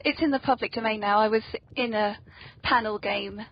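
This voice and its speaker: A woman's voice: medium pitch, steady tone, moderate pace, vocal fry. A woman hosting a casual, playful interview chat, talking in a self-deprecating way. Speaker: podcast host and author. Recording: dull lo-fi podcast audio.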